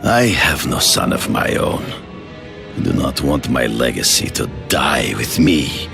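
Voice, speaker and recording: A grave, gravelly old hunter. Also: male voice, extremely low pitch, big pitch swings, over music, full character voice. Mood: persuasive. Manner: grave, measured, formal. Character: proud aging male hunter, honor-bound. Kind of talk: video game cutscene.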